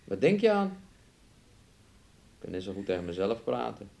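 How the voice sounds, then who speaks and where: A man's voice: lowish voice, big pitch swings, measured pace, creaky, darkish tone, heavily acted. An actor playing a menacing, mocking young man in a radio play, taunting and wheedling as he speaks of himself in the third person. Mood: gloomy, brooding. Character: menacing, mocking young man bullying his sick fiancée. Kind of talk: Dutch radio play acting.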